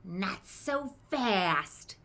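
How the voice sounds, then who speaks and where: Woman acting a snapping, forceful, strict witchy teacher. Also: mid-range voice, lively intonation, drawn-out words, exaggerated voice. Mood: menacing. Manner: snapping, hissing, commanding. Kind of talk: children's storybook read-aloud.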